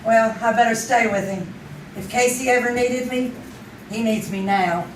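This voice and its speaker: Female sidekick for vintage radio drama woman acting: high-pitched, devoted and anxious, echoing stage sound. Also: moderate pace, close to an everyday voice. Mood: worried. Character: loyal, anxious young female friend of a photographer. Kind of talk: old-time radio drama acting, performed live on stage. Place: theater stage, live performance. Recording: roomy, distant stage recording, faint noise.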